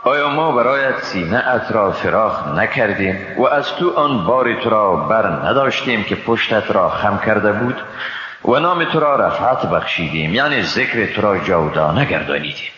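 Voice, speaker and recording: A man with an Afghan Dari accent delivers a solemn scriptural translation with formal, measured expression. His low voice moves widely in pitch. The sound is muffled and washed in reverb. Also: expressive, sharp tone. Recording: muffled, echoey reverb, hissy background.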